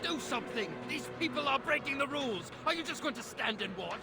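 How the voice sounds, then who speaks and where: An actor playing a haughty, short-tempered security company boss in a video game, commanding and indignant. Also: male voice, very high voice, animated, brisk, music in the background. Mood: furious, barking.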